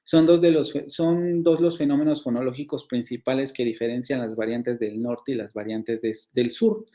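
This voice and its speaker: A man's voice: mid-range voice, steady tone, moderate pace. A man with a Mexican Spanish accent, a university phonetics professor giving an online lecture, explaining with frequent fillers, restarts and rhetorical questions. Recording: dull lo-fi sound.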